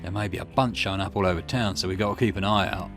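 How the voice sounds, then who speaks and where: A mild, slightly dopey young man from a video game, acted by a male player, sheepish and easygoing. The voice sits low, with music playing behind it. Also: quick pace. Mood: eager.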